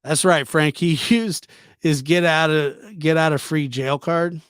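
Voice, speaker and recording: Casual male livestreamer, slightly high-pitched, dry sound. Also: measured pace, fairly bright tone, clean tone, no room sound. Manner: informal, teasing, repeats himself. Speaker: livestream host. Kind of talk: casual live chat commentary, reading and answering viewer comments.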